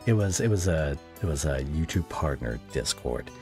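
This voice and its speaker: A man's voice: a bass voice, animated, moderate pace, mellow, soft background music. A man hosting a podcast, chatting casually with a guest as a fellow video creator.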